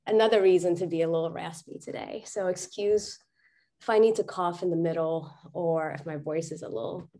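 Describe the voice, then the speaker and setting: A young woman giving a slide presentation online, her voice a little raspy as she gets over a cold. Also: on the low side, fairly even intonation, a little fast, dark tone. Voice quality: slightly raspy. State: getting over a cold, voice worn from chanting. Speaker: guest speaker, doctor. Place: online video conference.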